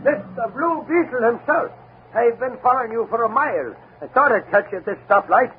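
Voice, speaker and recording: A man's voice: very high voice, lively intonation, a little fast. A man playing a cocky, blustering Irish police patrolman in a 1940s radio drama. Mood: cocky, threatening. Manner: blustering, threatening. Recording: noisy, tinny 1940s radio recording.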